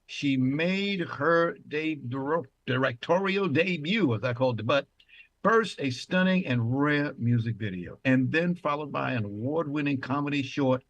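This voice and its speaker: A man's voice: on the high side, expressive, measured pace, dry recording, dull audio. A man hosting a talk show, introducing a guest formally and asking interview questions. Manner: courteous and formal.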